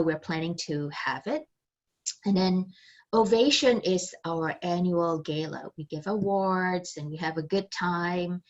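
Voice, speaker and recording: A woman giving an orientation talk on a video call, conversational with many fillers, her phrases trailing off. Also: lowish voice. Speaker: chamber staff presenter.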